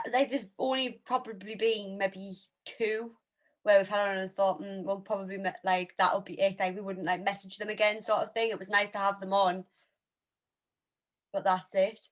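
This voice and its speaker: A woman's voice: medium pitch, fairly even intonation, unhurried, pauses often. A woman of about twenty chatting casually as a co-host, often telling stories in a giggly way.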